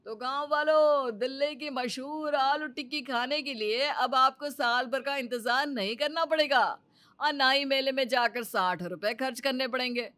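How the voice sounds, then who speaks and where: A woman's voice: high voice, normal speed, fairly bright tone, dry recording, voice pushed up. A woman voicing a greedy male village snack seller in a children's moral story, chatty, emphatic and persuasive. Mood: calling out.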